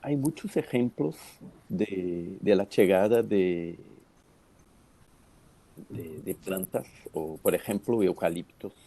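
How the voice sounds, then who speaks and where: Slow, deep-voiced Brazilian man. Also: mellow, husky. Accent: Brazilian Portuguese. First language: Portuguese. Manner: hesitant, searching for words, many fillers. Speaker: academic commenter. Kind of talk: academic discussion comment.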